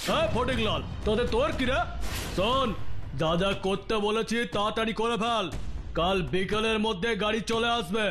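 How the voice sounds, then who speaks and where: A man's voice: high-pitched, normal speed, fairly bright tone, faint music behind. A man playing a gloating, bullying villain ringleader in a cartoon, with menacing, mock-sweet taunts. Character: gloating bullying villain ringleader who holds children captive. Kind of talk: exaggerated cartoon villain acting.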